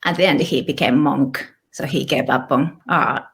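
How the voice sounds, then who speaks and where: A Japanese woman in her thirties, speaking English with a Japanese accent as an interview guest, thoughtful and relaxed with small restarts. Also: rumbling low, moderate pace, gravelly, slightly rough. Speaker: guest, author and illustrator. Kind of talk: casual interview conversation.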